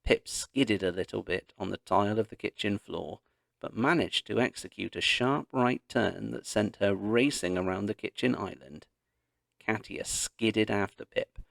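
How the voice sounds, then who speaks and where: A man narrating a children's story aloud in an expressive, dramatic storytelling manner. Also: lowish voice, vocal fry, dry recording. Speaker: audiobook narrator.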